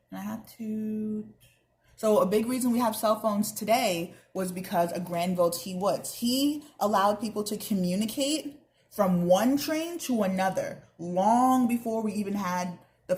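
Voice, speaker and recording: A woman's voice: mid-range voice, a little mellow. A young woman reading a children's book aloud, expressively, with chatty asides to her listeners. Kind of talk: read-aloud narration with casual asides.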